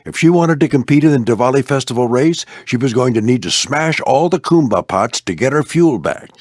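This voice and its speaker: An older man voicing a veteran warplane skipper in a video game, a gruff, fatherly flight instructor with folksy, military phrasing. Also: medium pitch, expressive, fairly quick, dry recording, clean studio sound, natural voice. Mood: storytelling. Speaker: squadron skipper and mentor. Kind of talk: animated video game character voice lines.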